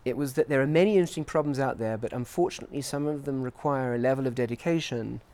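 Male interviewee, scientist. Mid-pitched, measured and articulate, reflective academic delivery. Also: darkish tone. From grew up in Portugal, England and the US. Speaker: interviewee, scientist at a research institute.

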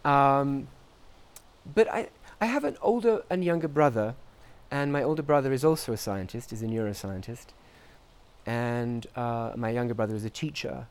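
Male interviewee, scientist. Mid-pitched, measured and articulate, reflective academic delivery. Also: clear, resonant tone. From grew up in Portugal, England and the US. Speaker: interviewee, scientist at a research institute.

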